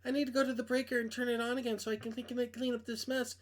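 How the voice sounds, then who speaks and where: An anxious, self-conscious young woman from a visual novel, acted out by a man, speaks in a raised pitch. She is halting and hesitant, quick to brush things off. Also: level tone. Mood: shaken.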